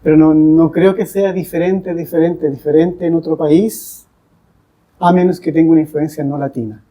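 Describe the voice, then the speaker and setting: A man talking reflectively as a podcast guest, deliberately, with pauses between short phrases. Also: on the high side, fairly even intonation, warm tone, low-quality recording. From Chile. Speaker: guest, English teacher.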